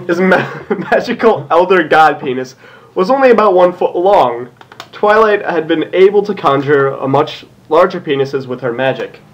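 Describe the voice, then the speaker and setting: A man reads fan fiction aloud in an informal, comic way. His voice sits fairly high and swings around in pitch, and he is giggly and apt to trip over the text. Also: expressive, normal speed, dull audio. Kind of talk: informal comic read-aloud, breaking into banter.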